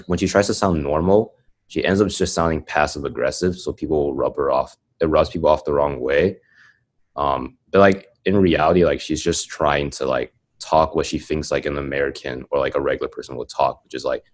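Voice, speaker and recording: A young man in casual conversation, rambling and filling his speech with "like" and "um". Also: a bass voice. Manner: rambling, heavy use of "like" and "um". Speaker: podcast guest. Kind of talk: casual chat.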